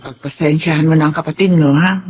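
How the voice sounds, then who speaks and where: A woman playing a gentle, apologetic elderly mother and grandmother in a Filipino radio drama, with concerned, motherly delivery. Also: deep voice, steady tone, fast talker, slightly rough, muffled recording.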